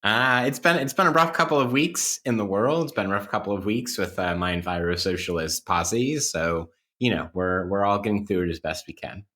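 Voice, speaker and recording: A man's voice: lowish voice, a little fast, few pauses, sharp tone. A man talking casually and analytically on a podcast, wry and academic, circling around his points as he explains. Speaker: podcast co-host playing interview guest.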